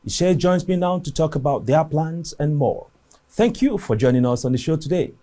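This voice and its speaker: A man's voice: a little high, expressive, warm tone. A man hosting a community show, reading a formal scripted intro and asking interview questions. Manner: measured, formal delivery. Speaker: show host.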